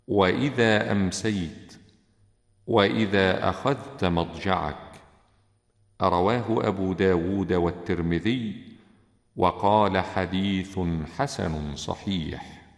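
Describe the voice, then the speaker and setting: A man reading hadith and supplications in classical Arabic, solemn and deliberate, with drawn-out phrase endings. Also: low-pitched, gravelly. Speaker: religious reciter. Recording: echoey with long reverb.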